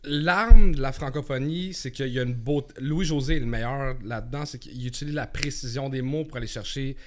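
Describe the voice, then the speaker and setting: A Québécois man in his thirties chatting casually and telling stories in an animated way, repeating words for emphasis. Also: mid-range voice, a little fast, a little hiss or hum. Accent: Québécois French. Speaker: guest, stand-up comedian. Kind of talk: casual chat with storytelling.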